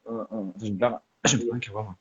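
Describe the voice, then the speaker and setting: A man's voice: fairly low pitch, fairly even intonation, normal speed, a bit bright, husky. A man on a call, answering briefly and agreeably in casual chat. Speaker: caller on a video call. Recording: dull call audio.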